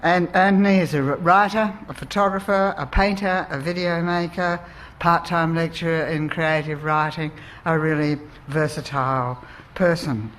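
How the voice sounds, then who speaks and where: An Australian woman chairing a live writers' festival session, introducing the speakers in a measured, deliberate way. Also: low voice, steady tone.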